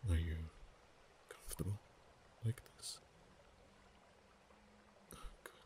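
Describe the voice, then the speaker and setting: A man voicing a proud, haughty male dragon in an ASMR romance roleplay, speaking softly with whispered and stammering stretches. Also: low-pitched, noisy background. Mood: tender. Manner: soft-spoken, partly whispered, halting stammers.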